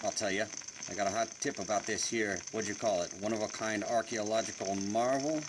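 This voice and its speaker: A wisecracking, menacing gangster , acted by a man in the manner of 1940s radio crime drama. He talks in tough street slang with a sneer, over a clearly noisy, sizzling recording. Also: lowish voice, steady tone, fairly quick, close to an everyday voice. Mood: cocky. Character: tough, sneering 1940s New York mobster with a gun.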